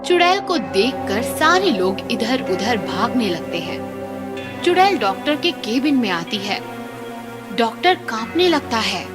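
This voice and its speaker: Female storyteller for animated horror: fairly high, lively intonation, scripted dramatic narration over loud music. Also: a little slow.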